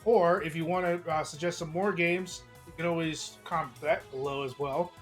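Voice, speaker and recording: A hoarse man streaming, reading a visual novel aloud in a casual, chatty way with small slips. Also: a little high, steady tone, moderate pace, soft background music. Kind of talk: narrating a visual novel live.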